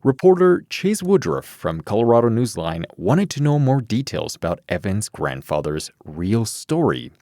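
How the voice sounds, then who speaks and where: A man's voice: fairly low pitch, sing-song, normal speed, hardly any pauses, dark tone, dry recording, studio quality. A man hosting a news podcast, reading scripted news and putting questions to reporters.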